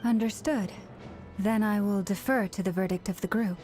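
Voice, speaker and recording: An actress playing a composed leader of an order in a video game, speaking formally and with measured care. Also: female voice, medium pitch, fairly even intonation, darkish tone, faint music behind, natural voice. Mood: composed, serious.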